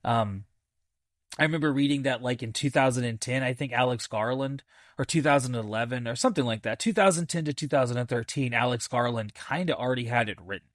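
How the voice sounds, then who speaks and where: A man hosting a podcast, casually reviewing a film in a halting, thinking-aloud way with enthusiastic, repeated emphasis. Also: mid-range voice, dry recording, clean studio sound. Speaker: movie review podcast host.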